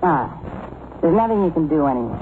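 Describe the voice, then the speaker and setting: Boozy, sardonic moll, old radio. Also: female voice, low-pitched, very animated, brisk. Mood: bitter, resigned. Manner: sardonic, teasing. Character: boozy, sardonic gangster's girlfriend, worldly and bitter. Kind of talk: old-time radio drama acting. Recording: noisy narrowband old radio recording.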